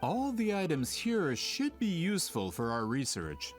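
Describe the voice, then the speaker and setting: A man voicing a courteous, driven, smooth-talking expedition leader in a video game, somewhat theatrical. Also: a little high, huge pitch swings, unhurried, faint music behind. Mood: focused, commanding.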